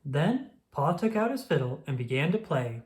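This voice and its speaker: A storyteller reading a children's picture book aloud in a slow, gentle manner. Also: medium pitch, sing-song.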